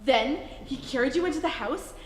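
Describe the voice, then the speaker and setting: A woman's voice: high-pitched, animated, a little fast. A woman acting a gossipy young girl in a 1940s-style radio comedy, telling her story coyly and teasingly. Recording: echoey hall, live stage reenactment.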